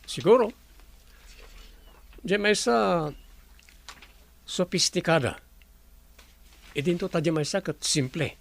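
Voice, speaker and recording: A man's voice: sits high, expressive, slow pace, creaky, pauses often, full character voice. An actor playing a polite male resort owner who greets guests courteously.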